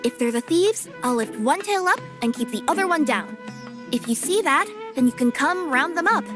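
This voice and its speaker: A woman's voice: high voice, lively intonation, normal speed, over music. A woman voicing an earnest, honest young female cat-spirit courier who gets flustered easily, in a game cutscene. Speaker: delivery courier.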